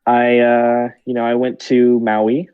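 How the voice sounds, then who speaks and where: A man's voice: mid-range voice, monotone, slow pace, clear, resonant tone. A teenage boy chatting casually and joking around on a podcast, hesitating often with fillers. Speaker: podcast co-host. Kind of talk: casual chat. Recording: dull lo-fi sound.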